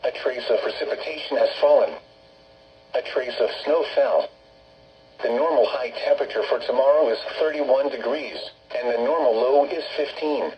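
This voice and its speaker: A synthetic text-to-speech man's voice reading a scripted weather forecast over radio in a formal, segmented, flat manner. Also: mid-range voice, normal speed. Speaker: automated weather radio announcer. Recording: muffled, noisy weather radio broadcast with hum.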